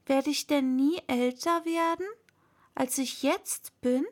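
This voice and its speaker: A woman's voice: high voice, slow. A woman voicing an earnest young storybook girl who muses aloud and scolds herself. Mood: wistful.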